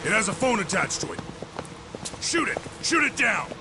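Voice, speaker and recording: Brash, wildly swinging street thug. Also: male voice, sits high, very animated, normal speed. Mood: frantic anger. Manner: brash, swearing, barking. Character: hot-tempered, foul-mouthed male street thug boss. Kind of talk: video game cutscene acting. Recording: loud music and vehicle noise behind.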